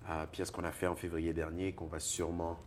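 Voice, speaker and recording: A deep baritone man from Togo, guest in an interview, talking in an animated way about his music and laughing often. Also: brisk, crowd noise. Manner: animated, laughs often, repeats words when searching. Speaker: singer-songwriter and poet guest. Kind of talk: conversational interview.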